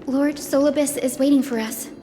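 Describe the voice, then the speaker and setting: A woman's voice: high voice, steady tone, moderate pace, warm tone, a little hiss or hum, lightly acted. An actress playing a polite, inquisitive young noblewoman in a fantasy game, speaking thoughtfully and earnestly. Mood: respectful. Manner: courteous, thoughtful. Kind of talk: dramatic fantasy game voice acting.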